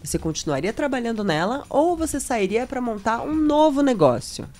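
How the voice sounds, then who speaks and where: A woman hosting a business podcast, reading a clear, measured scripted intro and then asking interview questions. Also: a little high, very animated, darkish tone.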